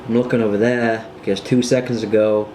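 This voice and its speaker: A man's voice: on the low side, level tone, fairly quick, noisy background. Chatty British man in his twenties. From United Kingdom. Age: 20s. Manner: chatty and rambling, with frequent fillers. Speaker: vlogger. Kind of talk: casual vlog chat.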